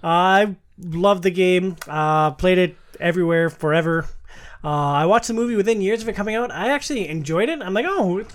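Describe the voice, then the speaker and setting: A man's voice: sits high, normal speed. A man hosting a casual podcast chat, talking in an informal, slangy and joking way. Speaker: podcast host. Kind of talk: casual chat with read-out movie reviews.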